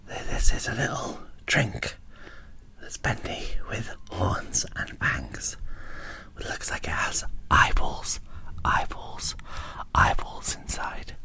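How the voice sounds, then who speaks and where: Slow, whispering woman. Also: very deep voice, big pitch swings. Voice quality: breathy, unvoiced whisper. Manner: hushed, unhurried, describing small details. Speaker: host of a doll unboxing video. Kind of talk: ASMR unboxing commentary.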